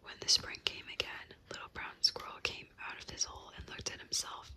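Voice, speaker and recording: A woman narrating an animal bedtime story in a soft, unvoiced whisper. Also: a little fast.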